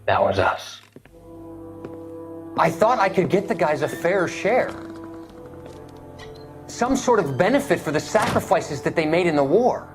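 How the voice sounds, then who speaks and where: Game role: deferential, troubled young ex-soldier, American accent, animated melody, confessional delivery, faint music. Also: male voice, on the high side, unhurried, pauses often. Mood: troubled, guilty. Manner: earnest, confiding, deferential. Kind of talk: video game dramatic acting.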